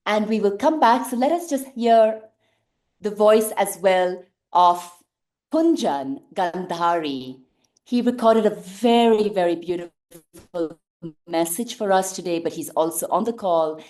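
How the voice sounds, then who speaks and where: Mellow woman host in an echoey room. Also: medium pitch. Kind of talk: hosting a live online event. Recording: echoey room on online call.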